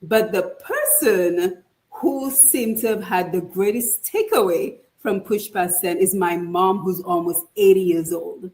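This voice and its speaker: Earnest, expressive woman author on video call. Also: lowish voice, measured pace, rough recording. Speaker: author and guest. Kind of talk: online interview. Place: home in Georgia.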